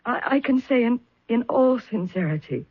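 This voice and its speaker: Guarded, easily indignant widow for vintage radio mystery: raspy, formal, dramatic, dry muffled broadcast. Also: female voice, fairly high pitch, lively intonation, moderate pace, dry recording. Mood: grieving. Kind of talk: old radio melodrama acting.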